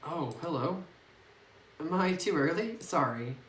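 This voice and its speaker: A man voicing a gentle, wistful young woman in a visual novel, reading her lines politely over a phone microphone. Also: a little high, lively intonation, unhurried, voice pushed up. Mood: polite, apologetic. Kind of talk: read-aloud visual novel dialogue. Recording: roomy, noisy distant phone microphone.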